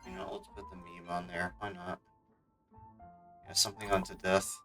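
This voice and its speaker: A man's voice: low-pitched, steady tone, moderate pace. A man giving casual, wry live commentary as a game streamer, muttering to himself as he plays. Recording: faint game music behind.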